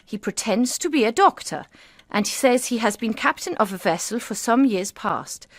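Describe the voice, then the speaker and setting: A woman's voice: a little high, lively intonation, normal speed. An actress in a period radio drama playing an anxious, kindly eighteenth-century farmer's wife, polite and earnest in her speech. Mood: reading out indignantly.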